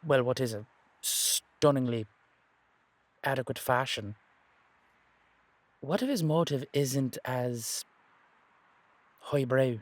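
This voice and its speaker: A man acting a shrewd, streetwise investigator in an audio drama, speaking deliberately and persuasively in halting, emphatic phrases. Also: medium pitch, no echo, natural voice. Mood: musing, persuasive. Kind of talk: dramatic audio roleplay dialogue.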